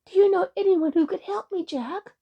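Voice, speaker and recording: Woman voicing a plaintive little pixie boy, very high. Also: moderate pace, lo-fi sound. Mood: plaintive, pleading. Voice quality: small, thin, high.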